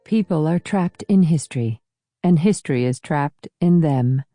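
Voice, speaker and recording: A woman's voice: sits low, animated, unhurried, mellow, no echo, studio quality, soft background music. A woman reading scripted literary narration for an audiobook.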